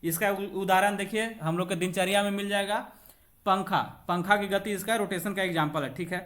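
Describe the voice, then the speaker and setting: High-pitched male coaching teacher. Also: steady tone, fairly quick, a bit bright. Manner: repeats points, checks in with short confirmations; switches between Hindi and English when reading aloud. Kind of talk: lesson working through practice questions.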